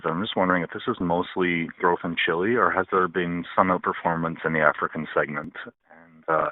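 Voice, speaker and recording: Analyst guy, really low voice, polite questions, dry phone audio. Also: no room sound. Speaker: financial analyst caller. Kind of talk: polite questioning on an earnings call. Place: conference call. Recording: dry, tinny phone line.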